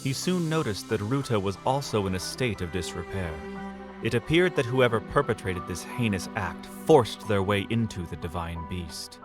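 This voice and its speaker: A man with a low voice narrates a fantasy audio drama. He reads in a measured, dramatic storytelling manner. A loud music score runs beneath his narration. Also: expressive.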